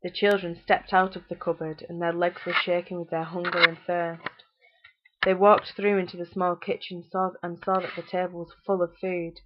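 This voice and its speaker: A woman's voice: on the low side, flat delivery, normal speed, muffled recording, very noisy. A British woman storyteller narrating a fairytale.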